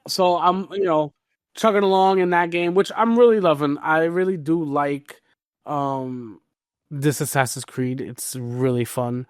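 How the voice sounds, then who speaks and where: A man's voice: fairly high pitch, steady tone, a little slow, clean tone, dry recording, studio quality. A man co-hosting a podcast, talking informally and teasingly about video games. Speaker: podcast co-host.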